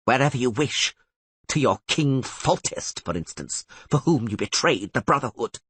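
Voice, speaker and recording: An imperious older archmistress sorceress, as a male audiobook narrator puts her on, her formal orders rising and falling widely in a husky voice. The recording is dry and close. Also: mid-range voice, big pitch swings, a bit bright, no echo, pitched above the performer's own voice. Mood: stern weary. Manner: commanding, formal, measured. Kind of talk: audiobook character reading.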